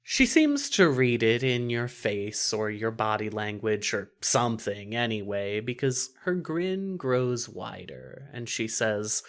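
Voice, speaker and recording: A narrator reading scripted fiction in an expressive, wry storytelling manner. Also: medium pitch, takes it slowly, few pauses.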